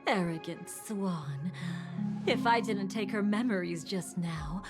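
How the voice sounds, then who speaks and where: A voice actress playing a sly, seductive, villainous femme fatale in a video game, taunting in a drawn-out, theatrical way. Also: female voice, medium pitch, over loud music, lightly acted. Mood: sly, menacing.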